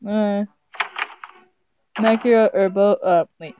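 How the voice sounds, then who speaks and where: A woman's voice: medium pitch, steady tone, takes it slowly, slight background noise. A woman streaming a visual novel, giggly and amused, reading text aloud casually and stumbling over it, game music behind her. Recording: slightly dull sound, game music behind.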